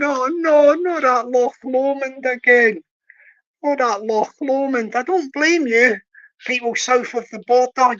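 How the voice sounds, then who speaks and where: A man's voice: very high voice, fairly bright tone, lo-fi sound. A man hosting his own channel, chatting and teasing viewers in a rambling, jokey way between a cappella songs. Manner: jokey, rambling, teasing banter with many restarts.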